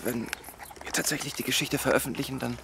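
An actor playing a probing, somewhat hesitant magazine reporter in a radio play. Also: male voice, medium pitch, fairly even intonation, fast talker, gravelly, heavy background noise, close to an everyday voice. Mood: insistent.

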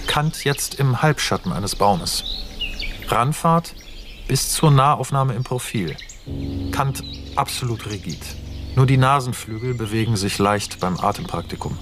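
A man's voice: medium pitch, lively intonation, unhurried, lightly acted. A man playing a sober narrator who reads screenplay stage directions in a radio play, factual and clipped. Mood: matter-of-fact.

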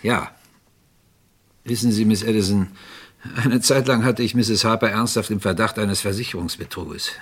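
An actor playing a courteous but insistent police inspector in a crime radio play, questioning deliberately in a husky voice. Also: male voice, on the low side. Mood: polite, insistent. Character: probing, politely persistent male police inspector.

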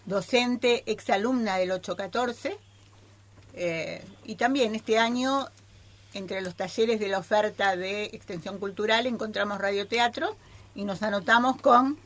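A woman's voice: medium pitch, a little slow, fairly bright tone. A woman, a teacher and drama group member, speaking casually as a guest in a radio studio.

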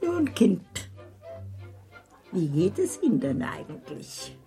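Old grandmother, radio drama: gravelly, swooping delivery, frank and knowing, sententious, music underneath. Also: medium pitch, wildly swooping pitch, slow pace, warm tone, heavily acted. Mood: probing, knowing. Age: older. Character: old grandmother, worldly, frank, pious counsellor to young wives. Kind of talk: German literary radio drama acting.

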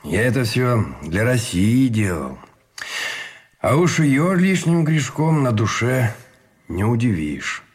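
An actor playing a cynical, smugly self-justifying imprisoned Russian officer in a radio drama, with a sardonic, theatrical drawl. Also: male voice, mid-range voice, very animated, slow, slightly rough, full character voice.

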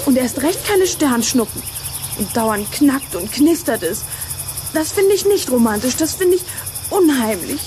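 A woman's voice: sits high, big pitch swings, a little slow, darkish tone, slight background noise. A young female performer playing a fussy, vain schoolgirl in a radio play, with an affected, coy, complaining manner. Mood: uneasy, grumbling. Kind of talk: German radio play acting.

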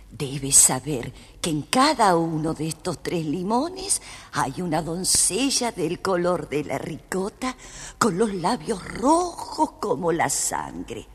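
An actress playing a very old, kindly and mysterious woman in a children's audio tale, with a husky, deliberate delivery. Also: lowish voice, exaggerated voice. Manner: slow, deliberate storytelling delivery. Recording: long hall reverb, faint music behind.

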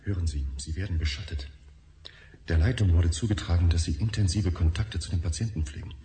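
Radio play: actor as secretive informant, deep mellow tone, urgent. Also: male voice, a little fast, slight background noise, lightly acted. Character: secretive male informant with warnings. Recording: roomy reverb.

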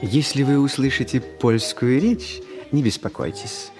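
An actor playing a cheerful young Polish student who narrates a radio comedy, addressing listeners with playful, mock-ceremonial courtesy. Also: male voice, mid-range voice, unhurried, close to an everyday voice. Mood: showy, teasing. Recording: 1960s radio play with music behind.

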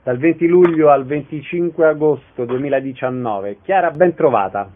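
A man's voice: on the high side, normal speed, slight background noise. Male interviewer, thin phone-like sound. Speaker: radio interviewer. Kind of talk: interview questions and introductions. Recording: thin, band-limited radio sound.

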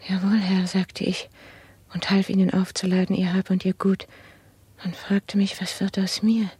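A woman playing an anxious, humble old maidservant of 59 in a radio play, with a breathy, half-whispered, brooding delivery. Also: mid-range voice, level tone, normal speed, mellow. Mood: anxious, pleading. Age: older. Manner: subdued, repetitive, brooding. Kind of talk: 1960s radio play monologue.

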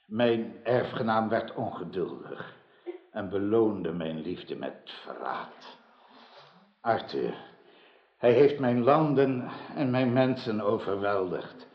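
An actor playing an old, wronged king in a radio drama, solemn and sorrowful, with halting, archaic speech. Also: fairly low pitch, expressive. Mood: bitter, sorrowful. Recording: dull, roomy radio drama recording.

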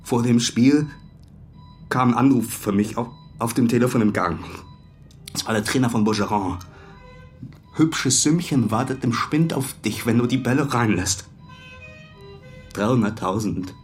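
A man's voice: medium pitch, natural voice. Bitter, battered male goalkeeper played by an actor. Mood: halting, tense. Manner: aggrieved, halting testimony. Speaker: actor in audio crime drama. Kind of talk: German radio crime drama acting. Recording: faint music under the voice.

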